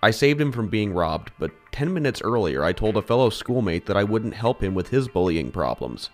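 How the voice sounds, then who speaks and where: Low-voiced, lively, earnest high school boy played by a man. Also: moderate pace, soft background music. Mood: troubled, questioning. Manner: earnest, questioning. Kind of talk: amateur audio drama acting.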